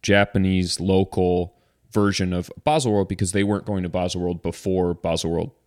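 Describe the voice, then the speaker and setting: A man's voice: low-pitched, moderate pace, no room sound, clean studio sound. A man hosting a podcast in casual, rambling chat, a little congested. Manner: relaxed, rambling, frequent restarts. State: slightly congested. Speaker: podcast host.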